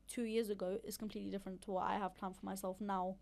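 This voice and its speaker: A woman's voice: medium pitch, little pitch movement, quick pace, gravelly, darkish tone. A woman co-hosting a podcast, chatting in a laid-back, reflective way. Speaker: podcast co-host. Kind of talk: casual chat.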